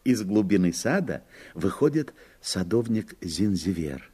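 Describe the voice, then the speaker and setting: A man's voice: low voice, very animated, measured pace, warm tone, husky. A man narrating a scripted fairy-tale audio play as its storyteller.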